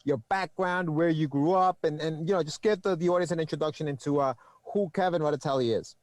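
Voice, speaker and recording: A man's voice: fairly high pitch, fast, darkish tone, dry recording. A man hosting a podcast, asking questions in a laid-back, casual way and often repeating words. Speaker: podcast host. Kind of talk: casual interview chat.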